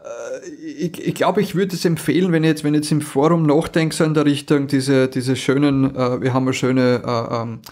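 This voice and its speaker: A man's voice: mid-range voice, fairly even intonation, moderate pace, hardly any pauses, a little mellow. Rambling man chatting on a podcast. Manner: rambling, many fillers and restarts. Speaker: podcast co-host. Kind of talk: casual chat about audio dramas.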